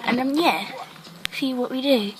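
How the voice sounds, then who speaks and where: A woman hosting a casual game commentary, rambling with lots of fillers and a croaky voice from a cough. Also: high voice, measured pace, heavy background noise. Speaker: gaming channel host.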